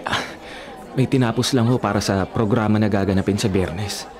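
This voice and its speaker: A man's voice: on the low side, fairly even intonation, moderate pace, a crowd in the background, natural voice. A man in a radio drama playing an earnest, respectful young vice mayor in love, polite and hesitant in speech.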